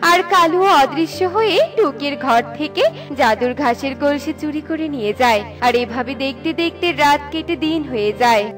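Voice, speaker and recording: A woman's voice: sits high, normal speed, music in the background. A woman narrating a children's fairy tale in a scripted storytelling style.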